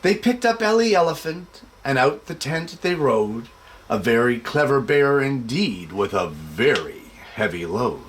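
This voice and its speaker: A man hosting a show for families and reading a children's picture book aloud in an expressive, measured way. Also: medium pitch, a little hiss or hum. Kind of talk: casual introduction, then expressive storybook read-aloud.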